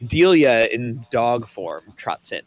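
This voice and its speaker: A man's voice: medium pitch, big pitch swings, slow. A man reading scripted stage directions as narrator for a table read.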